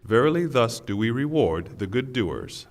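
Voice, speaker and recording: A man reading a scripture translation in a solemn, formal, deliberate way, with pauses. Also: medium pitch, big pitch swings. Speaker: translation reader. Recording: long hall reverb.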